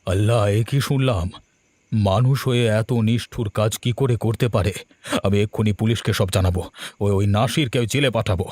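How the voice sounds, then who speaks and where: A man's voice: lowish voice, a little fast, a little mellow, dry recording, lightly acted. A man voicing a pious, righteous village father who moralises earnestly in a cartoon. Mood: shocked, indignant. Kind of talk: cartoon dubbing.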